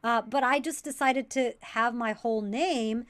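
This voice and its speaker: Animated woman comedian telling stories. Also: a little high, measured pace. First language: Tamil. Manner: lively, animated storyteller. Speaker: comedian guest. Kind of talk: casual interview storytelling.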